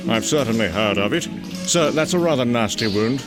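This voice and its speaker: A man playing a dutiful older butler, formal, deferential and gently reproving, in a comic book drama. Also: medium pitch, animated, brisk, natural voice. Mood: concerned. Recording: loud music under the voice.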